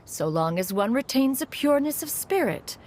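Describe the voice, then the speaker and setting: A woman's voice: high-pitched, big pitch swings, moderate pace, a little mellow. A woman playing a proud, caring immortal elder in a video game, speaking in a formal, haughty manner. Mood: haughty, indignant. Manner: formal, haughty, refers to herself as "one".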